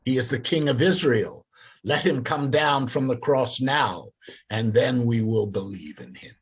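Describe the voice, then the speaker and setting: A man at a church reading voicing scornful grown men who taunt a condemned man, in a low, taunting delivery. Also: measured pace, breathy edge. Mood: mocking. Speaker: church reader. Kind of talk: congregational dramatic Bible reading. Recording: voice from away from the mic.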